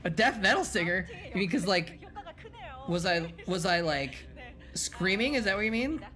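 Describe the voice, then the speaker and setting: A man's voice: sits high, expressive, normal speed, pushed and bright. A man chats loosely on a live stream. His voice sounds worn from hours of talking, and he keeps restarting sentences and answering viewers on the fly. Music plays steadily underneath him. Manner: rambling, self-correcting, reads and answers chat aloud. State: voice tired after hours of talking. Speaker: streamer and former game caster. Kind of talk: casual live-stream chat while watching old matches.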